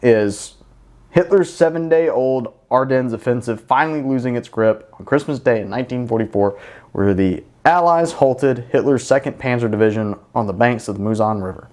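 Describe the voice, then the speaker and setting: A man's voice: mid-range voice, moderate pace, a little mellow. A man hosting a podcast in casual chat, speaking bluntly and swearing freely. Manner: blunt, profane. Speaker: podcast host; military veteran.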